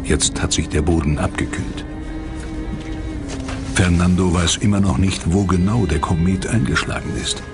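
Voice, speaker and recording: Male documentary narrator, low voice, scripted read over a music bed. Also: steady tone, normal speed. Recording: music behind the voice.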